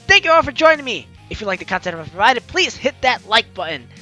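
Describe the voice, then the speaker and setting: A man with a strikingly high, bright voice reads a visual novel aloud as a let's play host. His delivery is theatrical and dramatic, over background music. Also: sing-song, fast talker. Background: game music.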